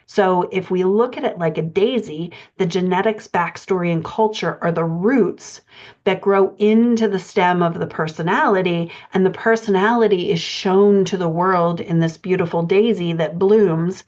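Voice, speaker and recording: A woman with a somewhat low voice speaks as a coach presenting a lesson. She explains things in an instructional way, often putting rhetorical questions to the listener. The recording is a little dull and lo-fi. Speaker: writing coach and podcast presenter. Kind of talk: instructional talk on fiction writing. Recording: dull, lo-fi audio.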